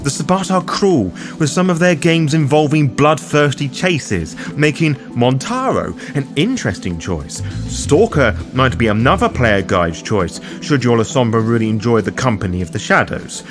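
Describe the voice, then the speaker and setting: A man's voice: mid-range voice, expressive, moderate pace, few pauses. A man hosting a scripted guide, narrating in a theatrical, playful way with wry asides. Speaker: host of a roleplaying lore guide series. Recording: music behind the voice. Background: background music.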